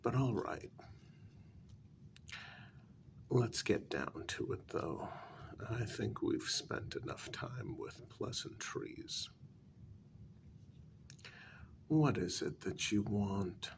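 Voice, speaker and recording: A haughty male vampire, acted by a man for an ASMR role-play, speaks extremely slowly and deliberately in a low, very gravelly voice. He stays hushed and half-whispered, formal and condescending, with dry amusement and a civil but predatory edge. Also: very animated, a little hiss or hum, exaggerated voice. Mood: wry, measured. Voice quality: breathy, gravelly near-whisper.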